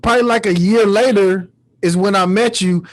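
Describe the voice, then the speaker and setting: A man's voice: high voice, steady tone, normal speed. A man hosting a podcast in a casual, laid-back chat, asking questions in loose, familiar phrasing. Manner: laid-back, often tags sentences with "man". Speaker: podcast host.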